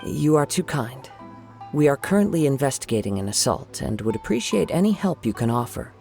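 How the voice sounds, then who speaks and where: An actor playing a calm, gracious, humble young wandering swordsman in a video game, speaking with composed formality. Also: medium pitch, brisk, vocal fry. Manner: composed, formal, soft-spoken courtesy. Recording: faint music behind the voice.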